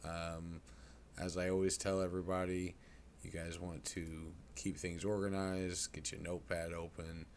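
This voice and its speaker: A man presenting a casual software tutorial in a folksy, informal way. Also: sits low, flat delivery, unhurried. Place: indoors at a computer. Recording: clear background noise.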